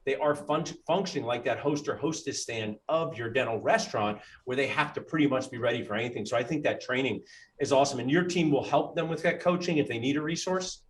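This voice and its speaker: A man, a dentist hosting a casual interview, talking in an animated way and jumping in with quick asides. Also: mid-range voice. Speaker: dentist and podcast host.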